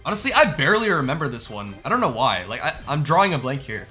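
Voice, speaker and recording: A young man giving casual reaction commentary as a gaming YouTuber, informal and slangy, thinking out loud as he goes. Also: mid-range voice, big pitch swings, quick pace, soft background music.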